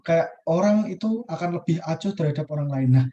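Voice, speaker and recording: A man's voice: fairly high pitch, expressive, brisk, mellow, lo-fi sound. A young man, a university student, talking through a class discussion on a video call, casual and hesitant with many fillers and restarts. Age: young adult.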